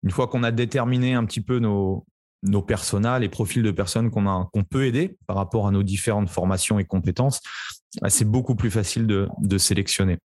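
A man's voice: lowish voice, brisk, darkish tone, no echo, studio quality. A man hosting an interview podcast, agreeing casually and speaking with many hesitations. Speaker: podcast host, coach.

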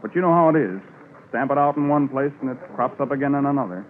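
An actor playing a matter-of-fact police officer recounting cases in conversational 1950s radio drama style. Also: male voice, mid-range voice, lively intonation, fast talker, phone-quality sound, lightly acted. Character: male police officer calmly recounting an old case. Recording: noisy band-limited 1950s radio recording.

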